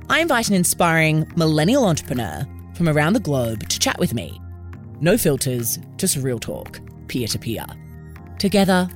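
A woman hosting a podcast, speaking in an upbeat, emphatic way straight to her listeners. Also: low voice. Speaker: podcast host. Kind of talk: scripted podcast introduction and interview hosting.

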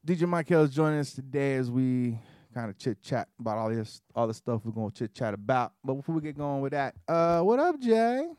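A man's voice: medium pitch, brisk, hardly any pauses, darkish tone, smooth, no echo, studio quality. A man in his forties co-hosting a podcast, chatting casually in a rambling, joking way. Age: 40s. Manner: rambling, joking, many restarts. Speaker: podcast co-host.

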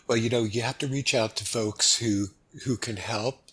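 A man's voice: mid-range voice, moderate pace, breathy edge. A middle-aged American man chatting easily as a guest in a casual interview. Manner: easygoing, relaxed, chatty. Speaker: podcast guest.

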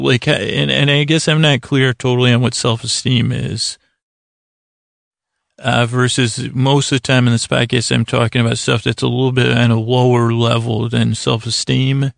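A man hosting a sleep podcast in a gentle, soothing way, with halting, fumbling, meandering monologue that keeps interrupting itself. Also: mid-range voice, creaky, dry recording, clean studio sound. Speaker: podcast host. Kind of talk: rambling bedtime monologue to lull listeners to sleep.

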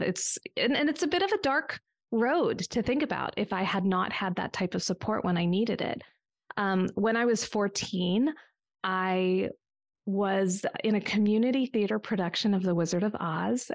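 A middle-aged American woman telling her personal story reflectively in an interview, articulate and measured. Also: medium pitch, gravelly, no echo, studio quality. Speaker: interview guest, coach and former therapist.

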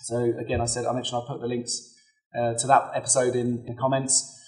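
A man in his thirties, slightly hoarse from a cold, giving earnest, advisory solo podcast talk as host and coach and thinking aloud as he goes. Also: mid-range voice, completely flat delivery, brisk, a little mellow, low-quality recording.

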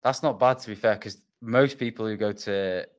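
A man hosting a podcast interview, reflecting in a relaxed way and asking follow-up questions. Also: on the low side, moderate pace. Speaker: podcast host. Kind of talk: casual interview.

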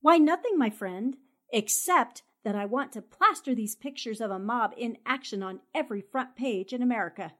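An actress playing a fearless, sharp-tongued 1940s newspaperwoman in a radio drama, brisk and commanding. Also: fairly high pitch, animated. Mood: taunting, defiant.

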